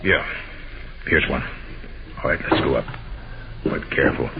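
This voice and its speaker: An American man playing a shrewd, level-headed private detective in 1940s radio style, with a deliberate, authoritative delivery. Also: rumbling low, creaky, muffled recording, heavily acted. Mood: hushed, cautious. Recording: noisy, band-limited old radio recording.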